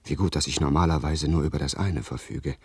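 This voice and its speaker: Husky, scheming gentleman aristocrat. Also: a bass voice, heavily acted. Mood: furtive, uneasy. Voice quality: breathy, husky, often dropping to whispers. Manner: theatrical, halting, over-polite. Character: scheming, ingratiating male English aristocrat, outwardly courteous. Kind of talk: German radio drama acting.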